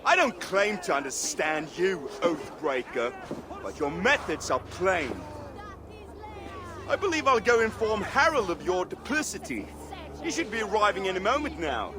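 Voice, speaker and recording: An actor playing a scornful, envious Viking rival in a video game cutscene, contemptuous and deliberate. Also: male voice, sits high, sing-song, crowd noise, background noise. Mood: cold, smug. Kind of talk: dramatic game cutscene acting. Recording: music behind the voice.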